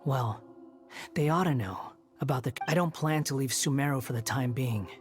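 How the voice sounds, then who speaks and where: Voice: mid-range voice, moderate pace, natural voice. An actor plays a sardonic young male wanderer in a game cutscene. He is aloof and curt, proud and brooding, with dry delivery over faint music. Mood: grave. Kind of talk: video game cutscene acting.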